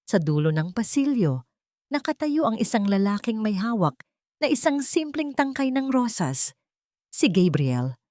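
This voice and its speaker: A woman's voice: fairly high pitch, mellow, no room sound, studio quality. A woman with a Filipino accent reading a scripted dramatic story as an audiobook narrator.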